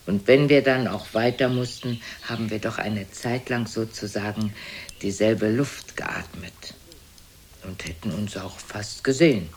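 A woman's voice: very deep voice, a little hiss or hum. An actress playing an imperious, blunt, aged mother who coolly belittles her son in a theatrical radio play. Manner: haughty, probing, theatrical. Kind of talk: German radio play acting.

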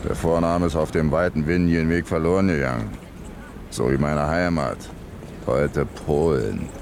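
An actor playing a weary, hungry, homeless drifter in a radio play, laconic and wry. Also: male voice, rumbling low, normal speed, gravelly. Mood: wry, introducing. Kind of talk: radio play acting with monologue. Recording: radio drama, faint ambient noise.

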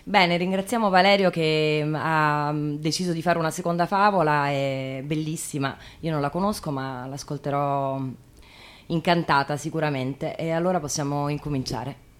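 A female host with a notably low voice. She speaks casually, as if introducing a guest on her show. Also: steady tone, moderate pace.

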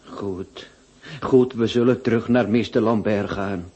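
An actor playing a cautious, deferential gentleman in a radio drama, hesitant and formal. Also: fairly low pitch, measured pace, dull audio, a little hiss or hum, close to an everyday voice. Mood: hesitant, placating. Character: cautious, deferential gentleman second to a Turkish duellist.

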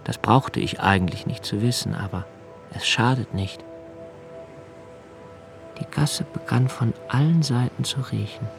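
An actor playing an anxious, solitary young writer in a literary radio play monologue, halting and pensive, sometimes sinking to near-whispers. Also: male voice, medium pitch, gravelly, over music. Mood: brooding, observant.

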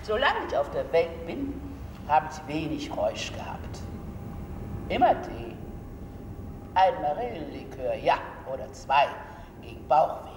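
A man's voice: a little high, sing-song, measured pace, long pauses. A man talking in an informal, folksy way. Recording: distant mic, echoey hall, clear noise.

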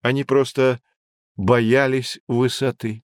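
An actor playing a knowledgeable, patient guide who teaches children in an educational audio drama, with a didactic, emphatic delivery. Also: male voice, medium pitch, little pitch movement, slow pace, smooth, no room sound, studio quality, lightly acted. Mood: storytelling.

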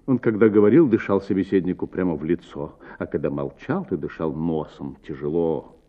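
A man reading a literary story for radio as an actor, with theatrical, varied phrasing and deliberate pauses. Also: deep voice. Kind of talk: expressive dramatic audiobook narration for radio. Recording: narrow-band, phone-like sound.

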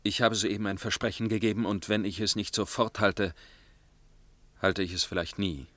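An actor playing a tormented, lovelorn gentleman torn by conscience, speaking formally and earnestly in a radio drama. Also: fairly low pitch, fairly even intonation, normal speed, lightly acted. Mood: earnest, urgent. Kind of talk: German radio drama acting, narration and dialogue.

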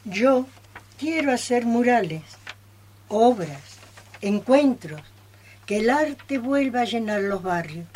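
A woman's voice: on the high side, animated, takes it slowly, pauses often, darkish tone, a little hiss or hum. A woman playing an earnest, passionate art lover in an amateur radio play. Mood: earnest, hopeful. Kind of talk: amateur radio theatre acting.

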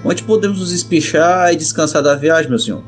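A man voicing a level-headed, practical bandit from the backlands in an audio drama, steady and folksy. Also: fairly high pitch, a little fast, faint music behind, pitched above the performer's own voice, lightly acted. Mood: calming. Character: level-headed male bandit leading his wounded companion. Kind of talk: audio drama character acting.

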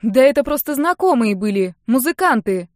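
A woman's voice: fairly high pitch, expressive, normal speed, no room sound, clean studio sound, pitched above the performer's own voice, natural voice. A woman voicing an indignant, combative young woman who quarrels with her family in exasperated exclamations, in an audiobook. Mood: defensive.

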